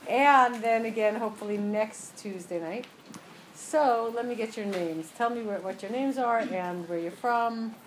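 A woman from New Jersey teaching a class to a small group, chatty and joking, then slow and emphatic as she explains. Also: a little high, slight background noise, low-quality recording. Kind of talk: relaxed class talk to a group.

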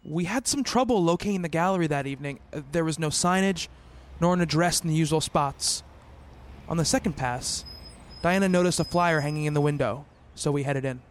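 A man playing an earnest, bookish doctor and devoted fiancé in a radio play, speaking in a measured, literary way. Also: on the high side, slight background noise, close to an everyday voice. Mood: wistful narrating. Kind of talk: radio play narration and dialogue.

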